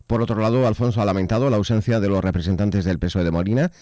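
A man's voice: lowish voice, fairly even intonation, quick pace, mellow, a little hiss or hum. A man with a Spanish accent from Spain, reporting the news in scripted narration.

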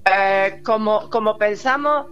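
A woman's voice: mid-range voice, slow, dull audio, over music. Older Córdoba woman calling a radio show. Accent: Andalusian, from Córdoba (seseo). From Córdoba, Spain. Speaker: caller. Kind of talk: phone-in chat on radio.

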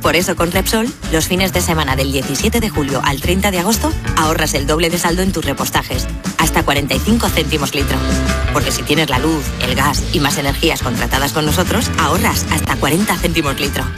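A woman's voice: low-pitched, brisk. A woman with an accent from Spain reading a scripted radio advert as a voice-over. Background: loud music.

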